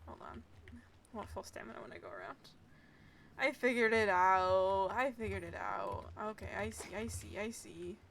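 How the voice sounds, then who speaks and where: A woman's voice: mid-range voice, normal speed, fairly bright tone, slight background noise. A young woman giving casual live commentary as she streams a game, sarcastic and self-mocking.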